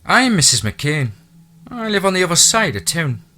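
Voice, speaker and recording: A chatty middle-aged Irish housekeeper with a thick, lilting brogue, motherly and busy. Her voice sits well above a low male register and rings bright, as a man does her voice in a storybook reading. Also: very animated, normal speed, a little hiss or hum. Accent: Irish (put on).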